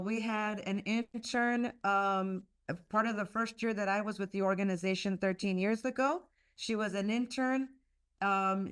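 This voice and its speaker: A woman's voice: mid-range voice, smooth. A woman who grew up speaking Spanish, answering interview questions earnestly over a video call, with many fillers and restarts. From United States. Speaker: leader of a leadership institute, guest.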